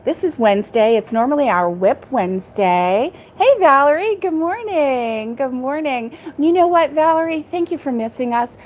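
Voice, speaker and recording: A woman's voice: high-pitched, expressive, moderate pace, hardly any pauses, a little hiss or hum. Bubbly woman hosting a livestream. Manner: bubbly, laughs often, greets viewers by name. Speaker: host of a crochet livestream. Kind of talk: casual live chat with viewers. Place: back porch, indoors, air conditioned.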